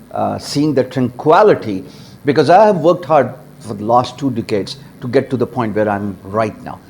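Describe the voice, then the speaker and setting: A man in his forties from Pakistan tells his own career story straight to camera, talking with emphatic jumps in pitch and frequent uh fillers. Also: darkish tone. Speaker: freelancer and YouTuber. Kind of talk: direct-to-camera personal story. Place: small home studio office, indoors. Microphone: small lapel mic.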